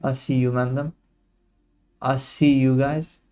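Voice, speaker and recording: A man's voice: medium pitch, little pitch movement, slow, mellow, clean tone. Slangy young man, trading stream. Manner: slangy, chatty, teasing viewers. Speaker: livestream trading host. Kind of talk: casual live commentary to chat.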